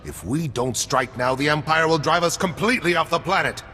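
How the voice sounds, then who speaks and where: Forceful, bright army major. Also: male voice, a little high, a little fast, big-room sound, soft background music. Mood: urgent. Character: forceful, hawkish army major pressing to attack.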